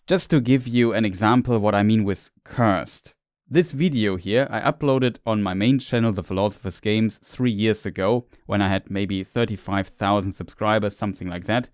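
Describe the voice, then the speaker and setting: A man's voice: on the low side, a little mellow, clean tone, dry recording, clean studio sound. Man explaining fantasy lore. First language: German. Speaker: lore video creator. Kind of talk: scripted lore narration.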